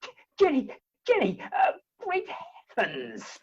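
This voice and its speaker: A woman playing a husky, lovesick English gentleman. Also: high-pitched, huge pitch swings, takes it slowly, highs cut off, heavily acted. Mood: flustered. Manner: theatrical, blustering, flustered. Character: bumbling, lovesick Victorian gentleman suitor, impetuous and muddled. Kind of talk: comic stage-play acting. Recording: home video-call recording.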